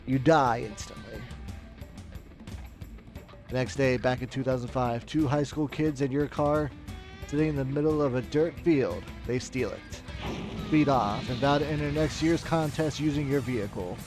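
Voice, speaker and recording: A man's voice: medium pitch, moderate pace, over music, lightly acted. A man reading out melodramatic video game ending text as a storyteller. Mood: grave storytelling.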